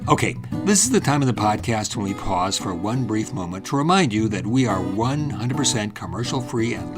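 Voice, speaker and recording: Loud guitar music plays under a man's medium-pitched voice. He is a podcast host delivering a scripted announcement. His manner is wry and mock-humble.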